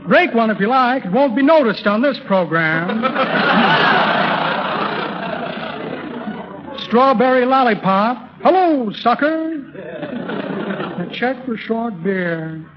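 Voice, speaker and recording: A man's voice: extremely high pitch, expressive, slow pace, pauses often, heavily acted. An actor playing a blustery, shifty con man in a 1930s radio comedy, with florid patter and muttered asides. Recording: muffled 1930s radio recording.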